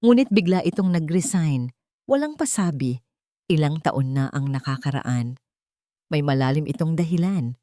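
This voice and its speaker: Low-voiced woman narrating a dramatic story. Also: a little mellow, dry recording, clean studio sound.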